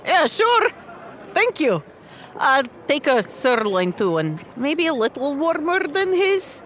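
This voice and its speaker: A woman plays a big, hearty adventurer in a fantasy tabletop game. She is easygoing and plainspoken, with a higher, very lively voice over faint noise. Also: slow, full character voice. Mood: friendly.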